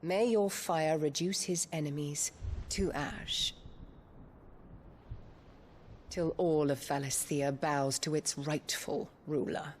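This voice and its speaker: A coldly venomous noblewoman of a fantasy game, speaks in a low voice. She is formal and imperious, with scorn wrapped in courtesy. Some ambient noise sits behind her. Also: fairly even intonation, measured pace, a little mellow. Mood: coldly commanding.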